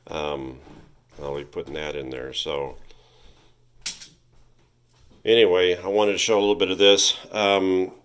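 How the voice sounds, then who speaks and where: A man casually walking through a repair at his home workbench, thinking aloud with frequent pauses and ums, recorded on a phone on a tripod. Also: low voice, steady tone, a bit bright, slight background noise. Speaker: vintage electronics repair host. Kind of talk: casual workshop walkthrough. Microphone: phone camera on a tripod.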